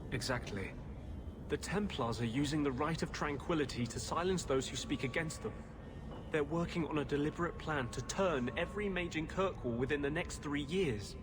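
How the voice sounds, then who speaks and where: An actor playing an intense, principled rebel mage in a fantasy video game, grim, earnest and insistent. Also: male voice, fairly high pitch, normal speed, close to an everyday voice. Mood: indignant. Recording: clearly noisy game audio.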